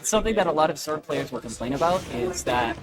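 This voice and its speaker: A man doing casual live play-by-play as an esports commentator, slangy and excitable. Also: a little high, quick pace. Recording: game music behind the voice.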